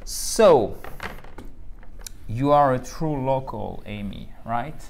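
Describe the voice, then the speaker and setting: A man's voice: mid-range voice, expressive, slow, gravelly, a little mellow. A man whose first language is French, hosting an upbeat, playful English lesson and switching to French to explain words. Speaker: English teacher, host. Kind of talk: bilingual English lesson chat.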